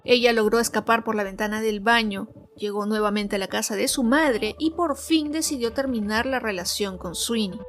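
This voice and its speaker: A woman narrating a scripted true crime story as host, in a measured, clearly phrased way. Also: a little high. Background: background music.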